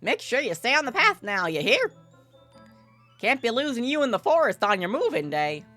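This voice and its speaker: A woman's voice: a little high, very animated, moderate pace, bright, forceful tone, soft background music, pitched above the performer's own voice. Woman putting on a cheerful, folksy country farmer man. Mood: jolly, teasing. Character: cheerful folksy male farmer trucker, hearty country talker. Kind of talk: visual novel character read-aloud.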